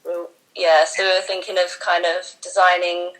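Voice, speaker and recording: A young woman who grew up in Bahrain answers questions in a casual interview. Her voice sits fairly low, and her talk is easygoing and hesitant, with frequent "um"s. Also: little pitch movement, moderate pace, a bit bright, poor audio. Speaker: metal band member.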